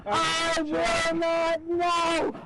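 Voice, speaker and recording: A woman's voice: very high-pitched, monotone, drawn-out words. A woman in her fifties, speaking erratically and insistently to police, repeating herself. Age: 50s. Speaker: arrested woman. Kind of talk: real police bodycam exchange. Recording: noisy bodycam audio, echoey.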